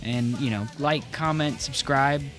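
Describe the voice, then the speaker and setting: A man's voice: medium pitch, measured pace, a bit bright, soft background music. A young man hosting a video essay in a wry, casual scripted commentary style, with fillers. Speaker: host.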